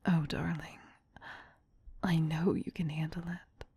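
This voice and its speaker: A woman voicing a doting, possessive vampire queen in a soothing, breathy whisper for an ASMR roleplay. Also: low voice, level tone, warm tone, no echo, heavily acted. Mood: tender, coaxing. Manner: soothing, slow, affectionate pet-name coaxing.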